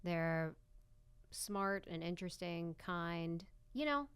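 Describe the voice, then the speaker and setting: A woman's voice: fairly low pitch, expressive, slow pace, a bit bright. A young American woman in casual chat as a guest, rambling and often qualifying what she says. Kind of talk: casual chat between friends.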